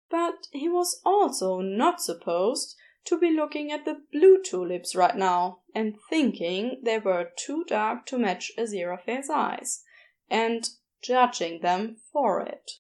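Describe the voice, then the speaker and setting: A woman reading fiction as an audiobook narrator, expressive, with wry, deliberate emphasis. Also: on the high side.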